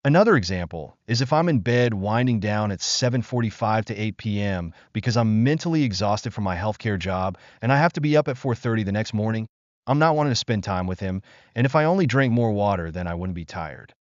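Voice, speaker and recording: A man narrating a first-person relationship story from an online post in a steady, even reading voice. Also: lowish voice, brisk, dry recording. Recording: dry, slightly dull lo-fi sound.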